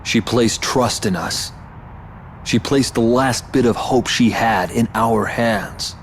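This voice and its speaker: An actor playing a noble, earnest warrior hero in a video game, speaking in a grave, measured way. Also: male voice, mid-range voice, slight background noise, lightly acted. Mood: solemn resolve. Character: solemn, noble young male warrior hero.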